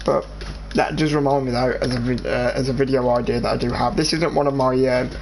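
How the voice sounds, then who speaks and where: Brief: English teen lad, mid-pitched, rambly gaming host chat over background noise. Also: male voice, fairly even intonation, vocal fry. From England. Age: teenager. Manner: rambling, halting, restarts sentences. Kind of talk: casual chat over gameplay.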